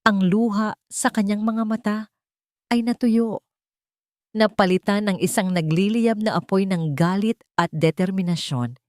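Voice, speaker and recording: A woman with a Filipino accent reading scripted romance fiction in a measured, dramatic storytelling manner. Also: mid-range voice, darkish tone, no echo. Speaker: story narrator.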